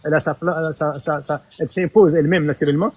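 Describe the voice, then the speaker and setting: A man's voice: fairly high pitch, fairly even intonation, quick pace, darkish tone, no echo. A man who leads a party from Mauritania, giving thoughtful answers in an interview and often restarting his phrases. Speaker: political party leader, interview guest.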